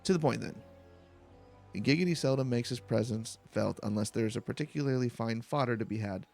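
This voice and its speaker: A man's voice: mid-range voice, a little fast, vocal fry, a little mellow, soft background music. A man voicing a polite, long-winded academic researcher from a fantasy game, formal and pedantic. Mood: businesslike.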